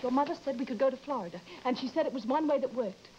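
An actress playing an anxious, devoted and insistent wife in an old-time radio horror drama, pleading in an earnest, dramatic manner. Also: female voice, sits high, quick pace, a little mellow, lightly acted. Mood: urgent, reassuring. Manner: earnest, dramatic, urging with broken, repeated phrases. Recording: dull, noisy old radio broadcast recording.

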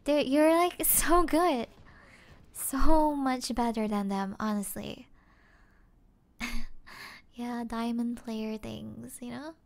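Casting: woman as sweet gamer girlfriend for ASMR roleplay; very slow, gentle, high voice, cooing and apologetic. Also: gravelly. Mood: cheerful, encouraging. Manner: gentle, cooing, giggly, hesitant. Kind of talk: soft-spoken ASMR roleplay.

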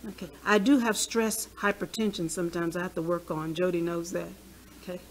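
A woman's voice: lowish voice, brisk, slight background noise. A woman of sixty giving a health talk in a small church hall, chatting with the audience and asking them questions as she speaks from a podium mic. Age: 60s. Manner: lively, conversational, asks the audience questions.

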